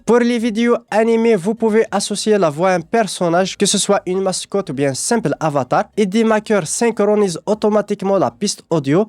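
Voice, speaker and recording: Male tutorial presenter, step-by-step narration, fairly high pitch, very dry recording. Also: a little mellow, dry recording.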